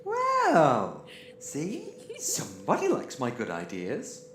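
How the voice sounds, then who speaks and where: A man's voice: mid-range voice, huge pitch swings, slow, a bit bright, slight background noise, full character voice. A man playing a cheeky, exasperated spaceship crewman in an audio drama, wry and emphatic. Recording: echoey room.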